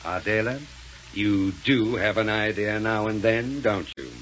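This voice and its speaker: Commanding space crook, clipped orders, dull vintage radio sound with faint noise. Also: male voice, fairly low pitch, measured pace, fairly bright tone. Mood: smug, assured. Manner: curt, ordering. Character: commanding, self-assured male space villain. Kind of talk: 1930s radio serial acting. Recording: muffled 1930s radio recording, faint noise.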